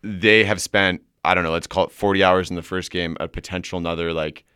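A man's voice: sits low, fairly even intonation, a little fast, bright, forceful tone, clean tone, no room sound, studio quality. A young man raised in Hong Kong, chatting casually as a guest and thinking aloud with many fillers. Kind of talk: casual chat.

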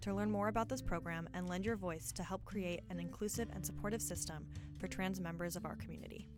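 A woman reading a public service announcement in a measured, earnest way. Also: on the low side, steady tone, over music.

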